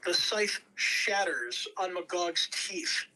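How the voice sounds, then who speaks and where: A woman's voice: on the low side, animated, slow pace, pushed and bright, poor audio. A woman reading scripted narration at a table read, telling the action in a dramatic storytelling way. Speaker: script reader.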